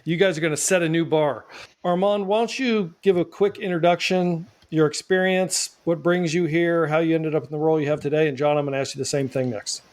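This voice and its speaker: A man hosting a casual podcast, joking with his guests and telling animated stories. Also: fairly high pitch, fairly quick. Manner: jokey, animated storyteller. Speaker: podcast host.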